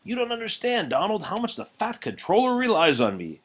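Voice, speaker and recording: Guy doing proud little train engine, huffy n earnest, pitched up, kids story, slightly muffled. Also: huge pitch swings, a little fast, heavily acted. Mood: self-important, indignant. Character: proud, self-important male railway engine, easily indignant. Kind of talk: children's storybook read-aloud.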